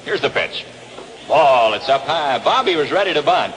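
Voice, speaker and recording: Man, American accent, lively swooping pitch, 1960s baseball radio play-by-play, noisy vintage recording, outdoor ballpark. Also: moderate pace. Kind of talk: 1960s radio sports commentary.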